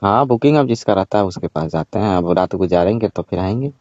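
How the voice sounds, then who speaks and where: A man's voice: sits low, expressive, brisk, a little mellow, dry recording. A man playing a cheeky, money-minded village ice-cream seller in a staged phone-call skit, matter-of-fact, teasing and evasive. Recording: dull, lo-fi call recording.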